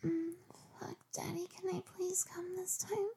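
A woman performing an intimate audio roleplay in a breathy, half-whispered voice. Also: very high voice, little pitch movement, unhurried, noisy background.

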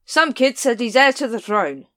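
A woman voicing a weary, gruff city-watch captain who sounds exasperated. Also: a little high, sing-song, moderate pace, a bit bright, no echo, clean studio sound, pitched above the performer's own voice.

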